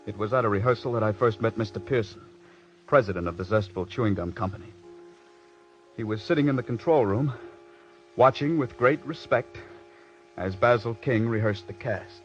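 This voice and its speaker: A man's voice: lowish voice, moderate pace, long pauses, natural voice. Actor as brooding radio-drama man, muffled vintage sound. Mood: brooding, tense. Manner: earnest, brooding, dramatic storytelling delivery. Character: embittered, overworked radio scriptwriter, intense and stubborn. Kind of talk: 1940s radio drama acting and first-person narration. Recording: dull vintage radio recording with music.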